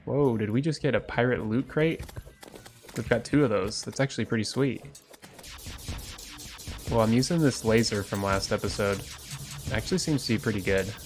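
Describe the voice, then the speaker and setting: A man with a congested, stuffy voice from a cold, giving upbeat let's play commentary on a game and getting excited over loot. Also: on the low side, moderate pace, pauses often, faint music behind. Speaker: gaming YouTuber.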